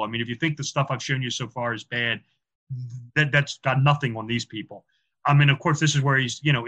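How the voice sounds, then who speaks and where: Guy guesting on a podcast, rambly and chatty, lots of ums, bright sharp tone. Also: mid-range voice, rough recording. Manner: chatty, many "um" and "you know" fillers, repeats words. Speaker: podcast guest, author on film symbolism. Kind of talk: casual interview talk.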